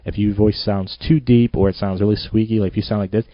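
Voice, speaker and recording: A man's voice: lowish voice, fairly even intonation, fast talker, no room sound. A man hosting his own podcast, rambling and self-mocking in a loose monologue. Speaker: podcast host. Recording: dull lo-fi home recording.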